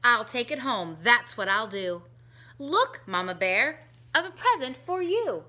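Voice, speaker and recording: A woman reading a rhyming picture book aloud to children in a slow, sing-song, expressive way, giving the characters their own voices. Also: fairly high pitch. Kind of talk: storybook read-aloud for children.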